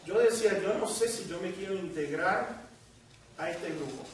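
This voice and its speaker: A man with a Puerto Rican accent gives a sermon, his voice pitched high and carrying through a very echoey hall. The mic sits some way off, with faint noise underneath. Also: normal speed, recorded from a distance. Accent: Puerto Rican Spanish. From Puerto Rico. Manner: storytelling, acting out quoted voices. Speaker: preacher. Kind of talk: sermon with personal testimony.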